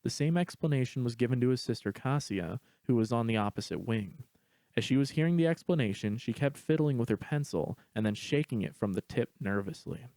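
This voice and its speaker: A man's voice: mid-range voice, animated, a little fast, a little mellow, no room sound. A man reading a scripted story aloud as its narrator.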